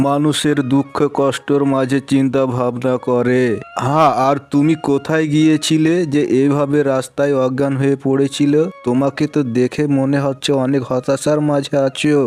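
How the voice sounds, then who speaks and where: A man playing a kind, generous village gentleman who moralizes reassuringly in a cartoon story. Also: medium pitch, level tone, moderate pace, natural voice. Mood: earnest advice.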